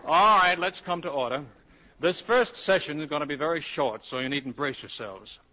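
An actor playing a brisk, self-assured male English professor with dry wit and articulate, formal delivery, in 1940s radio style. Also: a little high, sharp tone. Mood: wry, commanding. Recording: dull, noisy 1940s radio broadcast.

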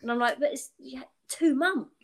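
A woman's voice: high-pitched, normal speed. A British woman in her twenties, co-hosting a casual podcast chat, rambling and chatty with lots of fillers. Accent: British English. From United Kingdom. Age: 20s. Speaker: podcast co-host.

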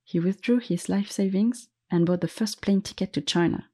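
A woman narrating a story in a gentle, measured, scripted way. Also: mid-range voice, husky. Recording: faint music underneath.

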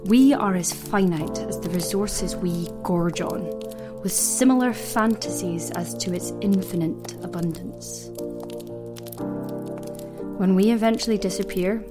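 A woman playing a reflective narrator in an audio drama, speaking a solemn, measured monologue that mourns human folly. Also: mid-range voice, lightly acted. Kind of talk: scripted audio fiction monologue. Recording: loud music under the voice. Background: loud music.

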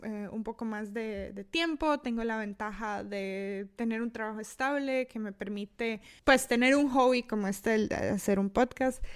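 Young Costa Rican woman, podcast host, fairly high voice, reflective and self-deprecating, lots of "eh" and restarts. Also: clean tone. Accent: Costa Rican Spanish. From Costa Rica. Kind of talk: casual solo monologue.

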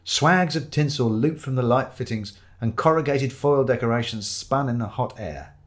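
A man's voice: mid-range voice, normal speed. A man narrating a comic first-person story in a wry, dry storytelling manner. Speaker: audiobook narrator.

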